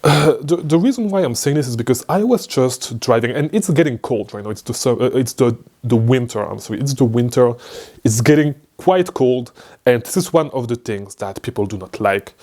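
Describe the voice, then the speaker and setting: A man's voice: medium pitch, animated, normal speed, a little mellow. A young man from Belgium whose first language is French, speaking English with a Belgian French accent, chatting loosely to camera as an online business coach, rambling with fillers and restarts. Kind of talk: casual freestyle talk to camera.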